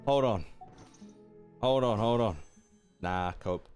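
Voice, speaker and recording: Casting: male streamer, lowish voice, laid-back and slangy reaction chat, faint game music behind. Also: takes it slowly. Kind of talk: casual live reaction commentary.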